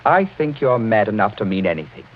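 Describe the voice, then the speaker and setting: Brusque young lord, nervy and very expressive, clipped lines, muffled 40s radio show. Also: male voice, fairly low pitch, brisk. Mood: wary defiance. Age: young adult. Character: brave, brusque young English lord on edge. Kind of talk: 1940s radio mystery acting. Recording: muffled, noisy 1940s radio recording.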